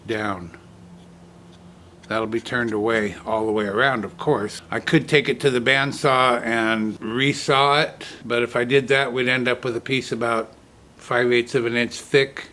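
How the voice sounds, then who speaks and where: A man's voice: mid-range voice, fairly bright tone. A man hosting a woodturning demonstration, talking casually in a folksy, plainspoken way as he explains each step. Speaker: woodturning channel host and instructor. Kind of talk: casual workshop demonstration talk.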